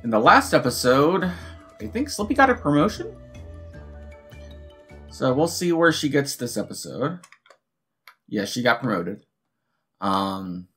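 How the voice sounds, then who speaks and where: A man with a hoarse voice from a cold, casually commenting on his gameplay as a let's play host, musing half to himself. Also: on the high side, slow, pauses often, soft background music. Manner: relaxed, musing half to himself with soft hums.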